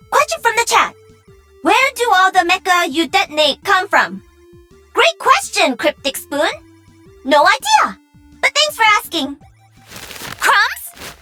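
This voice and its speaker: A woman's voice: extremely high pitch, sharp tone, exaggerated voice. A woman playing a cocky, playful young pro-gamer mech pilot in a video game, cheeky and bubbly. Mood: upbeat, playful. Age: young adult. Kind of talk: video game character voice lines.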